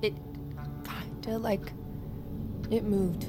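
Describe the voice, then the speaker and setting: An actress playing a young army recruit in an audio drama, sarcastic, blunt and quick to swear. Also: female voice, medium pitch, steady tone, music in the background, natural voice. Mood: defensive, sarcastic. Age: young adult. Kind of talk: naturalistic audio drama acting.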